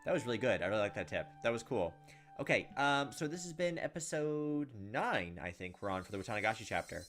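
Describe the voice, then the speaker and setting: A man's voice: mid-range voice, sing-song, brisk, fairly bright tone. A young man with a mid-range voice reads story text and comments casually as a gaming host. Faint game music and crickets sit behind him.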